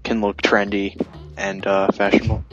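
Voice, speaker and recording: A man's voice: on the low side, monotone, normal speed, highs cut off, over loud music. A man doing casual, crude, joking commentary with friends over a video game. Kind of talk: casual group gameplay commentary.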